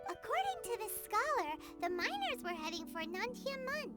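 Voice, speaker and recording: A woman voicing a small, excitable, childlike female fairy companion in a video game, with a squeaky voice and exclamatory delivery. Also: moderate pace. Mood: curious. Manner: refers to self in third person, exclamatory. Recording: game music behind the voice.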